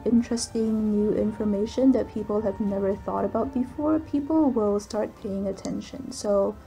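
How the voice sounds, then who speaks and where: A young woman with a soft voice, answering viewer questions in a casual voiceover recorded on a computer's built-in microphone. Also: mid-range voice, vocal fry, low-quality recording. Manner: soft-spoken, relaxed, with frequent ums and likes. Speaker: gaming channel creator.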